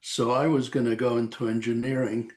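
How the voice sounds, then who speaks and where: A man in his eighties from El Salvador, a retired radiation oncologist recalling his career in a halting, rambling interview over a video call. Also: mid-range voice, level tone, darkish tone. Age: 80s. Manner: slow, rambling, halting with frequent "uh". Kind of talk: reminiscing interview.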